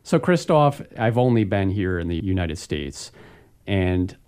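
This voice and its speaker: A male deacon hosts a radio interview, teasing his guest lightly and asking friendly questions. His voice is fairly low. It has a soft, mellow tone. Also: sing-song, a little slow, smooth.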